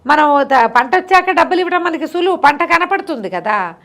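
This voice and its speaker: A woman's voice: sits high, expressive, normal speed, a bit bright. A middle-aged woman tells a moral story conversationally, repeating phrases for emphasis and explaining the lesson simply.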